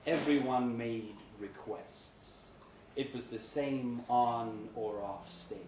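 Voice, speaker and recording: A man giving a measured, dramatic live reading of a literary short story. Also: mid-range voice, darkish tone. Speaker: story reader. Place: pub event room, live reading. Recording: echoey pub room, noticeable background noise.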